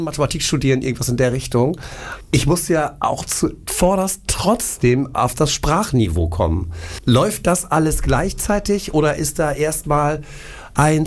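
A man interviewing a guest, working through his questions thoughtfully with hypothetical examples. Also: on the high side. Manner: thoughtful, poses hypothetical cases.

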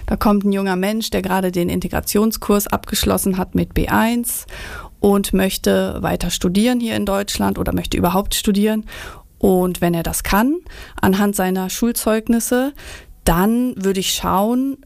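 A woman working as an advisor for young migrants who want to study, giving clear, detailed answers in an interview. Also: mid-range voice, fairly even intonation, a little slow, a little mellow, no echo.

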